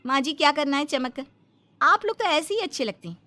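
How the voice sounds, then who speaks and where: Woman playing sharp-tongued young daughter-in-law, brazen, high voice, very animated delivery, comic cartoon dialogue. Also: fairly quick. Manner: brazen, mocking, outspoken.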